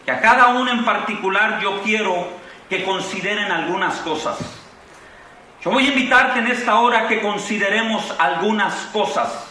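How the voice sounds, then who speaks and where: Mexican preacher in an echoing convention hall. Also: male voice, sits high, a little hiss or hum. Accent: Mexican Spanish. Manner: impassioned preaching with rising exclamations, then relaxed storytelling. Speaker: pastor. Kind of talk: sermon. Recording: echoey hall from far off.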